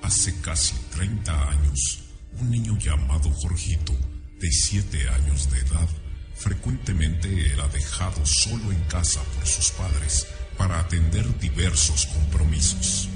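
A man playing a grave, sinister storyteller with a Mexican accent, narrating a horror radio drama slowly and ominously. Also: a bass voice. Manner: slow, ominous, deliberate. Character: grave, sinister male teller of a horror tale. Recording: loud music under the voice. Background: loud music.

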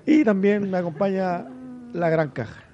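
A man's voice: high voice, normal speed, warm tone, muffled recording. A man with a Chilean accent hosting a podcast in a casual, chatty way. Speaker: podcast host. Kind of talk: casual chat.

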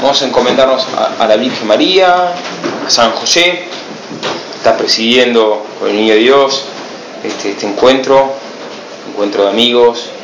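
A man's voice: medium pitch, unhurried, echoey room, lo-fi sound, background noise. A missionary priest from Buenos Aires with an Argentine accent, giving an informal talk to a small group and answering his own rhetorical questions with a firm no. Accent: Argentine Spanish, Buenos Aires.

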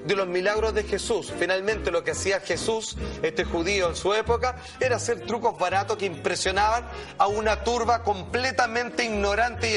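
A man with a Chilean accent presenting a talk show, telling stories and commenting in a theatrical, slangy way and often calling for attention. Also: sits high. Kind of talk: animated storytelling and commentary on cartoons.

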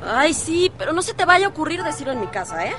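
A woman's voice: sits high, big pitch swings, fast, bright, forceful tone, a little hiss or hum, exaggerated voice. An actress playing a chatty, gossipy teenage girl with a Mexican accent, confiding colloquially in a radio soap. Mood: eager. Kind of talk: radio soap opera acting.

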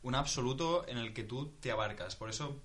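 A man's voice: mid-range voice, fairly quick, fairly bright tone. A young man from Spain in a casual group discussion, thinking aloud with many restarts. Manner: thinking aloud, restarts, swears when stuck.

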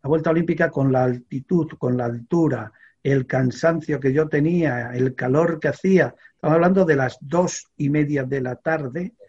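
An older man from Extremadura, a veteran sports reporter, telling an animated story in a studio chat with many fillers and restarts. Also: fairly high pitch, moderate pace, husky, highs cut off. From Extremadura, Spain.

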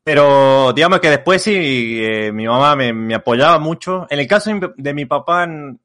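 A man's voice: fairly high pitch, animated, normal speed, fairly bright tone. Young Argentine guy, creator being interviewed, loose rambling delivery full of fillers. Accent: Argentine Spanish. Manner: rambling, many fillers and restarts. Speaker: content creator guest. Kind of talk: casual interview chat.

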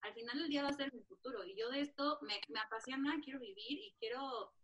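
A woman's voice: fairly high pitch, quick pace, fairly bright tone. A young woman, an encouraging, enthusiastic interviewer in a casual chat. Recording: distant mic with faint noise.

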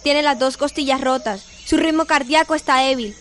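A woman's voice: high voice, brisk, slight background noise, close to an everyday voice. A woman acting an urgent, commanding role in an amateur Spanish-language radio drama.

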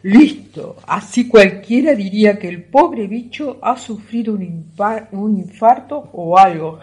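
Woman playing an exasperated young man, slow-paced. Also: medium pitch, animated, a little mellow, breathy edge. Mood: uneasy. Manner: exasperated, grumbling. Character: stressed, irritable young man talking to his dog. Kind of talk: amateur radio theatre acting. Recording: audible background noise.

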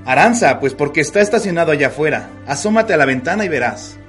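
A man playing an affectionate, earnest gentleman husband in a radio soap, with a Mexican accent. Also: on the high side, expressive, quick pace, faint music behind. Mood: pleased. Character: devoted, tender husband, well-off gentleman. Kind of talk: radio soap opera acting.

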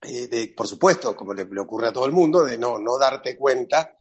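A man's voice: mid-range voice, lively intonation, fairly quick, husky, highs cut off. A man with an Argentine accent, a psychologist guest talking on a radio show in a thoughtful, chatty way. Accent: Argentine Spanish. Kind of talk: radio interview, phoned in.

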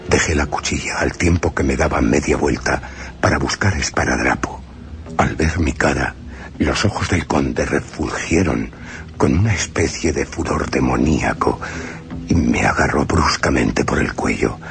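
Casting: haunted guest in gothic radio play, extremely low gravelly voice, deliberate and uneasy. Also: male voice. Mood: frightened, tense. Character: uneasy male houseguest recounting a night with a vampire count. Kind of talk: dramatised first-person gothic radio narration. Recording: radio drama with prominent music.

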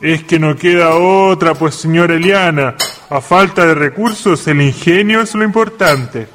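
A man's voice: on the high side, unhurried, fairly bright tone, in a large hall, slight background noise. An actor in a community radio drama playing a proud, hospitable father with a Chilean accent, telling stories to his guests in a folksy manner. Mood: genial. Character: proud father of Dalila, genial small-town host.

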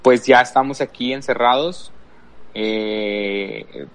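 Man, middle-pitched, casual storyteller, pauses to think aloud, conversational. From El Paso, Texas, USA. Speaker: podcast guest, worship leader.

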